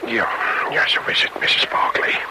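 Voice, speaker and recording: An actor in a radio play voicing a folksy, evasive fishing warden, husky, hesitant and rambling, eager to please. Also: male voice, moderate pace, heavy background noise, lightly acted. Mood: flustered, evasive. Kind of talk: radio drama, dialogue and chatty monologue.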